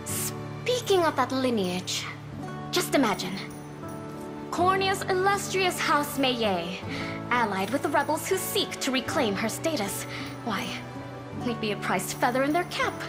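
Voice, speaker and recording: High-voiced haughty young noblewoman. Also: sing-song, unhurried. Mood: coy, confident. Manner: theatrical, imperious, coquettish. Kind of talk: video game cutscene acting. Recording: loud game music behind. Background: game music.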